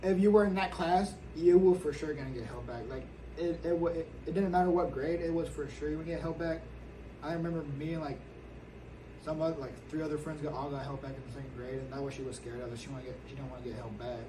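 A young man and former ESL student, recalling school in a quick, halting way full of restarts. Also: a little high, steady tone. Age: young adult. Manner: rapid, halting, many restarts. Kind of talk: documentary interview. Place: small indoor room. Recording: distant mic with background noise.

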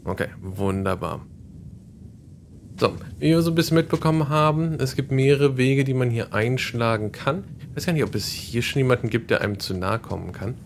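Man, let's play host, casual commentary, explains mechanics, medium pitch, faint hiss. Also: lively intonation, moderate pace, gravelly. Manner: chatty, explains game mechanics.

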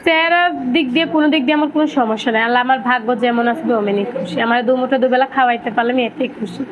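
A woman's voice: fairly high pitch, racing, crowd noise. A young woman with a Bangladeshi accent giving plain, colloquial answers in a casual on-camera interview. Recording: dull lo-fi audio with loud background noise.

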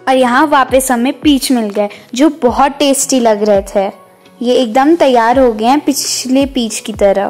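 A woman narrates a vlog in a high voice. She is chatty and casual, dropping English words into her talk, with music playing faintly behind her. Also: a little mellow. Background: background music.

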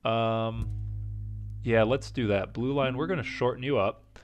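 A man casually commenting as he plays a strategy game, thinking aloud and second-guessing his choices, with a slight rasp and an occasional cough. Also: mid-range voice, unhurried, soft background music. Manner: thinking aloud, indecisive, self-talking. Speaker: gaming channel host. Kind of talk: casual let's-play commentary.

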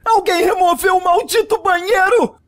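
A man's voice: very high-pitched, fairly even intonation, normal speed, raised voice. A man playing a skeptical, irritable young gamer in a comedy fan dub, sarcastic and exasperated. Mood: irritated.

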